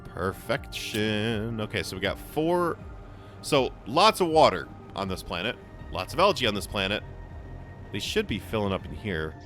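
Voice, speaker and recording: A young man with a hoarse voice, chatting casually as he plays a game for his channel, rambling with frequent restarts. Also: lowish voice, big pitch swings, normal speed, over music. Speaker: gaming channel host. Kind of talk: casual gameplay commentary.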